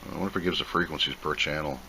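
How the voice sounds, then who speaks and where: A man's voice: very deep voice, rapid-fire, bright, forceful tone, rough recording. A man giving a casual, plain-spoken unboxing commentary, rambling and thinking aloud as he goes. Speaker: video host reviewing a product. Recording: clear background noise.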